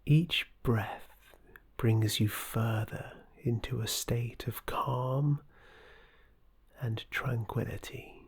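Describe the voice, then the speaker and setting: Slow, breathy, dark-toned meditation guide. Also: mid-range voice, fairly even intonation. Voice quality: soft, breathy, often near whisper. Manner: soothing, gentle, measured. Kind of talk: guided meditation, scripted narration.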